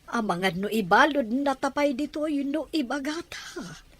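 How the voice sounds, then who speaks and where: An actress with an Ilocano accent playing a determined, secretive mistress of a mansion in a radio drama. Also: female voice, high-pitched, animated, a little slow, no echo. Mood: urgent, resolute.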